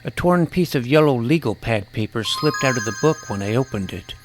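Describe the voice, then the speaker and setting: A man's voice: medium pitch, lively intonation, a little fast. Male narrator, mid-range, earnest and precise first-person storytelling, light music bed. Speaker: narrator playing the story's young protagonist. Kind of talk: first-person scripted audio drama narration.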